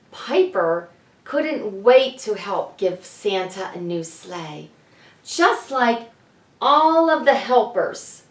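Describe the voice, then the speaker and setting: A woman author reading a storybook aloud for children, speaking deliberately and addressing young listeners directly. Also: medium pitch. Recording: echoey room, slightly distant mic.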